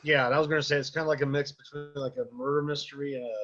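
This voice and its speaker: A man giving casual feedback in a relaxed group conversation. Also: fairly high pitch, very fast, soft background music. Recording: muffled lo-fi audio.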